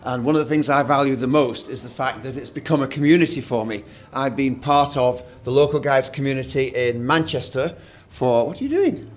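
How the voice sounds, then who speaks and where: A middle-aged man with a loud voice, co-hosting an event in a hotel meeting room, telling stories with restarts and dry jokes. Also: mid-range voice, lively intonation, a little fast. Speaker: co-host.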